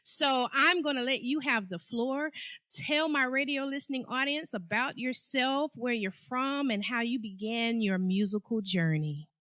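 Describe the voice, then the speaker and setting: A woman's voice: on the high side, measured pace, bright, forceful tone, dry recording, clean studio sound. A woman hosting a radio show, introducing her guest with enthusiastic, emphatic delivery. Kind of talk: upbeat radio interview hosting.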